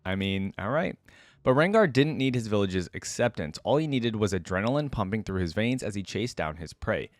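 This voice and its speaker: A man with a fairly low voice hosts a reaction video in a casual, conversational way. He moves between reading text aloud and dropping in his own asides. The sound is very dry and close, with no room echo. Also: animated, moderate pace.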